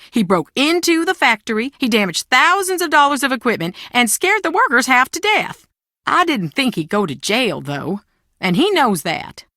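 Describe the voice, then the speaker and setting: A woman's voice: fairly high pitch, no echo, clean studio sound. An actress playing a proud, anxious middle-aged mother who is defensive and theatrical, in a video game. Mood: defensive, indignant. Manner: defensive, theatrical, emotionally expressive. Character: proud, anxious Southern mother guarding family secrets.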